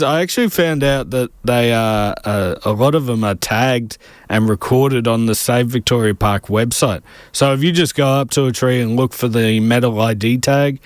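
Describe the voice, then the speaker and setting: A man hosting a community radio show, speaking haltingly with many fillers. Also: mid-range voice, no echo, clean studio sound. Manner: halting, filler-heavy delivery. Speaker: radio host, interviewer. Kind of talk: casual community radio presenting.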